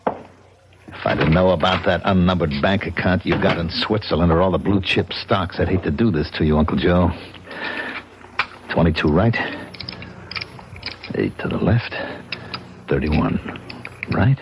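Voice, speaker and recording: Deep-voiced, bitter American schemer. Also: male voice, a little slow, pauses often, dull audio, noisy background. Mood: cold, vengeful. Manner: hard-boiled, slangy. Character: bitter, scheming cheated husband and thief narrating his revenge. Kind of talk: 1970s radio drama acting, first-person crime narration. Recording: vintage radio recording with music.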